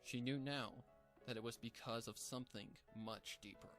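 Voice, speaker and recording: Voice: medium pitch, fairly even intonation, moderate pace. A storybook narrator reads scripted fiction in a mid-range voice. The delivery is that of a story being told aloud. Music plays behind the words.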